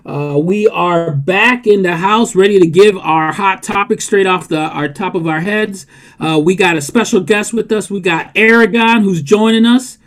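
Male podcast host, fairly high voice, relaxed joking delivery with lots of "uh"s. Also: moderate pace. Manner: laid-back, joking, stalls on fillers. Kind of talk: casual podcast chat.

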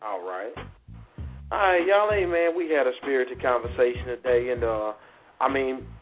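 A man's voice: medium pitch, animated, normal speed. A man hosting a casual internet talk radio show, laid-back and slangy, joking with his co-hosts. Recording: tinny phone-line internet radio with music.

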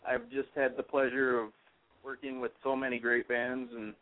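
A man's voice: medium pitch, level tone, moderate pace. A man with a Minnesota accent calling in to a radio interview, easygoing and a bit hesitant, chuckling now and then. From California. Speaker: guest, music promoter. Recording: tinny phone line.

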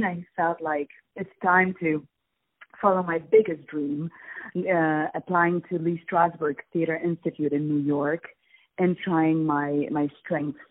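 A woman from Poland, an actress, being interviewed over the phone, answering thoughtfully with many ums and pauses. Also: on the low side. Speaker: guest actress. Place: on a phone call. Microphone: telephone. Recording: tinny phone line, dry.

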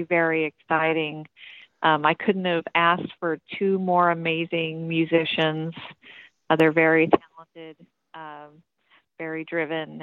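A woman's voice: lowish voice, no echo. A chatty woman from Tennessee talks loosely through interview answers, full of ums and asides. Her voice comes over a tinny, phone-like line, dry with no room sound. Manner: chatty, rambling, many ums, occasional swearing. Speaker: metal band singer, interview guest.

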